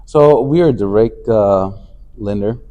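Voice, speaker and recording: A man's voice: fairly low pitch, unhurried, warm tone, lo-fi sound. A man from Afghanistan, a company CEO, talking as a guest in a casual interview, with many fillers and restarts. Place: conference venue.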